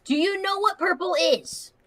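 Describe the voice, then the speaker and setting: A woman's voice: very high-pitched, normal speed, a bit bright, no room sound. A woman co-hosting a livestream in casual banter, blunt, sweary and emphatic. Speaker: livestream co-host.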